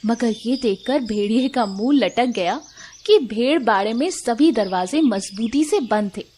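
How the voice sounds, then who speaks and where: High-voiced woman story narrator. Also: slight background noise. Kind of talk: scripted children's moral-story narration.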